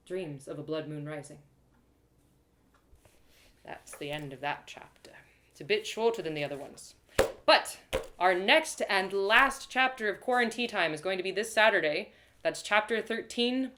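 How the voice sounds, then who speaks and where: A woman reading a first-person fantasy story aloud in a dramatic, expressive way. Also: medium pitch. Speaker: storyteller, author reading live. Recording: roomy echo.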